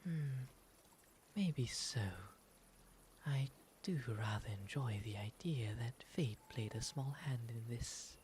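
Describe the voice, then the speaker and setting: A man voicing a gentle, refined owl demon in an ASMR roleplay, with soft, breathy, soothing, formally polite speech. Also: medium pitch, close to an everyday voice. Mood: fond, courteous. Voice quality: soft, breathy, often whispery. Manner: soothing, genteel, unhurried formal phrasing. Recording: noticeable ambient background noise.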